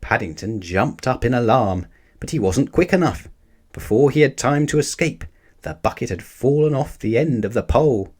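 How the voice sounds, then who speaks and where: A man reading a children's picture book aloud as an expressive storyteller for young listeners. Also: mid-range voice, moderate pace, husky.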